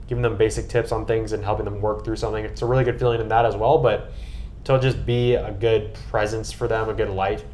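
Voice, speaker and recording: Man, American accent, low voice, earnest and enthusiastic, casual podcast talk, noisy background. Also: fairly even intonation, fast talker, a little mellow. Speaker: podcast guest and co-host recapping a trip.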